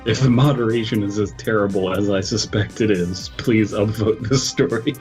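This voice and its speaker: A man's voice: lowish voice, moderate pace, darkish tone, faint music behind. A man co-hosting a podcast in casual chat. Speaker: podcast co-host.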